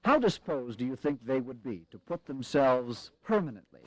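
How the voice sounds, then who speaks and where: A man playing a pragmatic, weary army commander in a historical audio drama, speaking in a measured, grave and formal way with wry resolve. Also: medium pitch, very animated, no room sound, poor audio. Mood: resigned, explaining.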